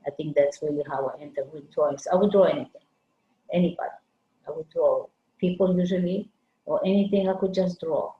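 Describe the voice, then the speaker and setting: Low-voiced older woman, soft and halting. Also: roomy sound, lo-fi sound. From Lithuania. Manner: halting, searching for words. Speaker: guest artist. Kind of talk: conversational interview. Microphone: video call.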